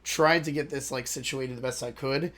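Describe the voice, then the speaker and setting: A man with a hoarse, worn voice chats loosely to his viewers at a middle pitch. He rambles, says sorry and loses his thread now and then, in the easy tone of a reaction channel host. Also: fairly even intonation, normal speed, a bit bright. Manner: rambling, apologetic, loses his train of thought. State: hoarse from a lost voice. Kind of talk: casual chat to viewers.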